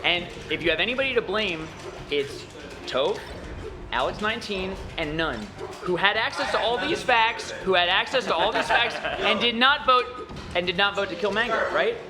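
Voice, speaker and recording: A man's voice: high-pitched, expressive, normal speed, music in the background. A young man arguing his case in an insistent, self-assured, lecturing way. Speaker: visiting guest. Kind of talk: animated game argument.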